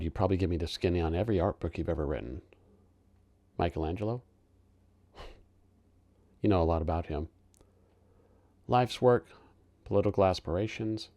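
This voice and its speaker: Man acting a deep, quiet, reflective older therapist. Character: calm, wounded older male therapist, gently confronting a youngster. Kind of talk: theatrical film monologue.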